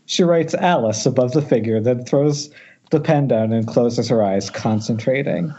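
Casting: male narrator for screenplay directions, husky, wry and informal, muffled internet-call sound. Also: medium pitch, moderate pace, warm tone. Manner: wry, jokey ad-libs. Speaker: narrator reading stage directions. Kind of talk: loose, informal script narration at a table read.

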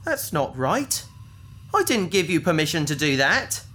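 A woman's voice: low-pitched, sing-song, a little slow, a bit bright, full character voice. A woman playing an indignant, pleading captive in a science fiction audio drama, speaking in an earnest, protesting way. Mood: alarmed, indignant. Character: indignant, pleading female captive victim on operating table.

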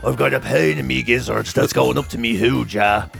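A muddled old Irish granny, as played by a man, speaks in a voice dropped low and creaky. She is plaintive and vague about her aches, like a character in a comic podcast anecdote. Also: normal speed, a bit bright, soft background music. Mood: muddled complaint.

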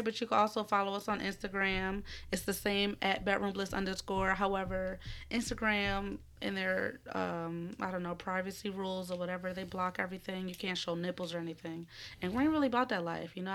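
A woman with a raspy voice from a cold, co-hosting a casual podcast from a room at home, rambling, laughing and swearing freely. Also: medium pitch, fairly even intonation, brisk, fairly bright tone. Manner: profane, giggly, rambling. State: recovering from a cold. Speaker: podcast co-host. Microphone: studio microphone.

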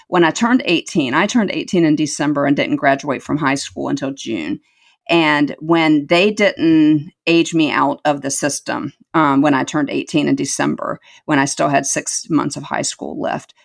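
A woman's voice: low-pitched, gravelly. A middle-aged woman telling her life story as an interview guest, earnest and reflective, repeating words for emphasis.

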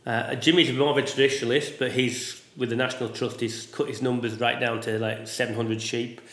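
A man who is a sheep farmer, talking casually in a loud voice and often ending with tag questions. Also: medium pitch, flat delivery, fairly quick, reverberant. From England. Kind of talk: casual conversation.

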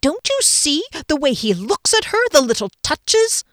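A woman's voice: high-pitched, very animated, unhurried, no echo, studio quality, pitched above the performer's own voice. A woman voicing an anxious, agitated man in an audiobook, speaking in a tense, urgent, fretful way. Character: anxious, agitated man confronting his bandmate friend. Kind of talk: audiobook character dialogue.

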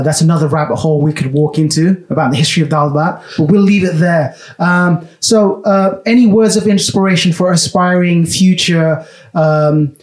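A man's voice: a little high, darkish tone. A man hosting a podcast, chatting casually in an easygoing, joking manner. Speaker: podcast host. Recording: echoey room.

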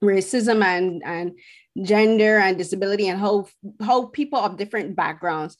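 A woman from Barbados, a film critic talking at length as a podcast guest, earnest and pausing to search for words. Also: medium pitch. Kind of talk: casual podcast conversation.

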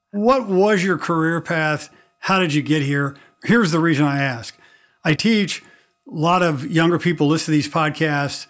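A man's voice: a little high, fairly bright tone. A middle-aged man from Missouri hosting a podcast interview, chatty with self-deprecating humor. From Missouri, United States. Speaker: podcast host.

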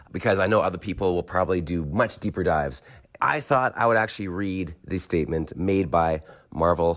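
A man with a hoarse voice from a cold, talking through a podcast segment in a measured way with pauses. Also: sits low, fairly bright tone. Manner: measured, with frequent pauses and fillers. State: hoarse, losing his voice from a cold. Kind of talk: monologue commentary, partly reading a statement.

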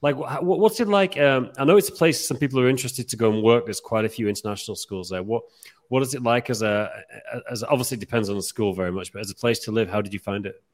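A man's voice: mid-range voice, fast talker. A man co-hosting a podcast interview, asking questions in a friendly way with many stammers. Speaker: podcast co-host.

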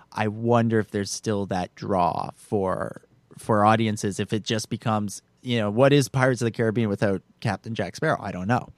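A man's voice: lowish voice, no echo. A man co-hosting a casual film discussion, hesitantly repeating and rephrasing his words as he thinks aloud. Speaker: podcast co-host.

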